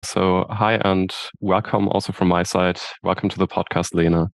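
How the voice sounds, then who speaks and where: A man's voice: sits low, flat delivery, normal speed, vocal fry. A man co-hosting a podcast, welcoming the guest and asking questions in a friendly, loosely phrased way. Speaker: podcast co-host. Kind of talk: casual interview questions.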